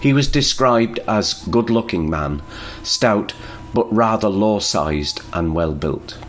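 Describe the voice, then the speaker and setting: A man reading a scripted historical narration in a measured, even storytelling manner. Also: fairly low pitch.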